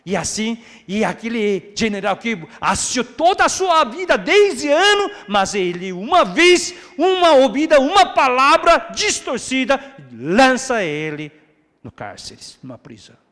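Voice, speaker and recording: A man's voice: very animated, a little slow. Man preaching with dramatic emphasis in an echoey hall. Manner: swings from hushed asides to loud, high emphatic repetition. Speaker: pastor. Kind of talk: sermon. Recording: echoey hall with long reverb.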